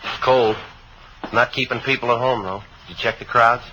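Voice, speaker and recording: A man's voice: mid-range voice, steady tone, normal speed, lo-fi sound, background noise, close to an everyday voice. A man acting a terse, matter-of-fact homicide sergeant in a vintage radio crime drama. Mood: businesslike. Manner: clipped, understated, matter-of-fact. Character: terse, businesslike male police homicide sergeant. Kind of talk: 1950s radio crime drama acting and first-person narration.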